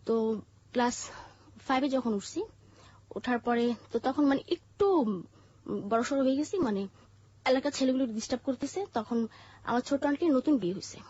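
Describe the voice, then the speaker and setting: A woman with a Bangladeshi accent telling her life story in an interview, haltingly, with pauses and repeated phrases. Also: fairly high pitch, darkish tone, breathy edge. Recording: muffled, dull lo-fi audio.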